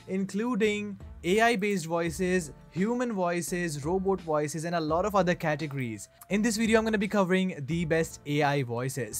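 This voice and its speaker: Male tech reviewer, higher register, scripted how-to delivery, light music bed. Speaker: tech reviewer, channel host. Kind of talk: scripted tutorial narration. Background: background music.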